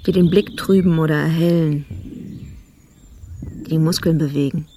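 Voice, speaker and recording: An actress playing a sombre, watchful police officer in a radio drama monologue, measured and pensive, in fragmentary phrases. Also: female voice, sits low, steady tone, warm tone, slight background noise, natural voice. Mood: sombre, observant. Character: sombre, watchful police colleague reflecting on a detective.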